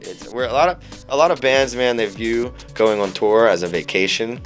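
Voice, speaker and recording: A man's voice: mid-range voice, moderate pace. A young man, a band singer, chatting casually in an interview, laid back, swearing freely and filling his talk with "like" and "man". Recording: loud music playing behind.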